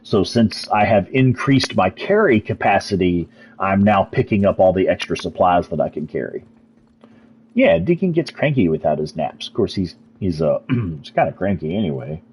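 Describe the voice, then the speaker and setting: A man's voice: deep voice, expressive, moderate pace, darkish tone, a little hiss or hum. A man with a Texas accent giving laid-back, chatty live commentary over gameplay as a streamer, answering chat and swearing casually. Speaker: gaming streamer.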